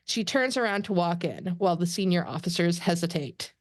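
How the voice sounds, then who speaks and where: A woman's voice: fairly low pitch, lively intonation, fairly quick, dry recording. A woman reading stage directions as narrator of a scripted table read.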